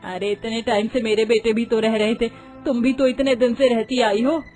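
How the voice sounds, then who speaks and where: Lady doing a naggy fussy older mother in law, high voice, story with music behind. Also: little pitch movement, normal speed, darkish tone, natural voice. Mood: dismissive. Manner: exclaiming, complaining.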